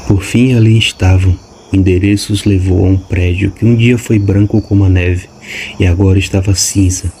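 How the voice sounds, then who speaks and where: A man giving scripted audiobook narration in an even, measured way. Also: low voice, slight background noise.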